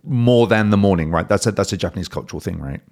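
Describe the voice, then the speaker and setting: A man's voice: low-pitched, quick pace, a little mellow. A man hosting an interview, curious and enthusiastic, asking follow-up questions and adding frequent short affirmations. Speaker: interviewer host. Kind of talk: conversational interview.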